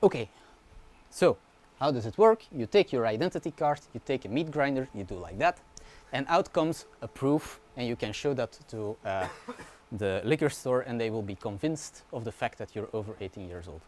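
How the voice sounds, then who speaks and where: A man, a university researcher giving a relaxed conference talk, often checking in with the audience. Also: medium pitch, normal speed. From Belgium. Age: 20s. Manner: casual, informal explaining with frequent "yeah?" and "right?" check-ins; fillers and restarts, light humor.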